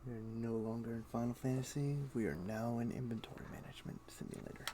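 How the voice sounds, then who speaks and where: A man's voice: medium pitch, little pitch movement, fast, mellow. A man livestreaming a game, chatting casually and muttering his thoughts aloud in short fragments. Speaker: game streamer. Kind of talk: casual livestream chat, thinking aloud while gaming. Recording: faint keyboard typing and clicking noise. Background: computer keyboard typing and mouse clicks.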